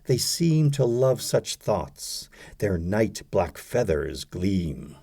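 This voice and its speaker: A man recites poetry in a mellow, slightly low voice. His delivery is slow and deliberate, broken by frequent pauses, with dramatic phrasing. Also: big pitch swings, creaky. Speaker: audiobook reader of poetry.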